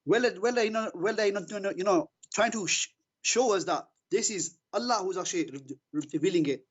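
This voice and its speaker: High-voiced husky man, halting debater. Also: lo-fi sound. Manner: halting, stammering, repeats words. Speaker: caller in a religious debate. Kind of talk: informal defensive debate.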